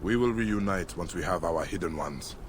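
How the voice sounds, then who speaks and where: A man's voice: low-pitched, normal speed, a little hiss or hum, close to an everyday voice. An actor playing an earnest, resolute male warrior leader in ancient Egypt, in a dramatic video game style.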